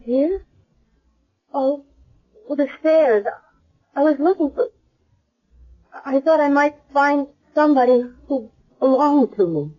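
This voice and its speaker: High-voiced, bewildered young woman. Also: lively intonation, warm tone. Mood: confused, anxious. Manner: hesitant, halting, repeating words. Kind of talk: 1970s radio drama acting. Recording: dull lo-fi old radio broadcast.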